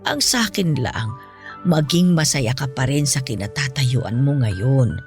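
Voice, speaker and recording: Woman acting a gentle, moralizing, lively-pitched mother. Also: unhurried, exaggerated voice. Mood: patient, counseling. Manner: earnest, patient, moralizing. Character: gentle, principled ailing mother counseling her grown child. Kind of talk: Filipino radio-style drama acting. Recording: music bed under the voice.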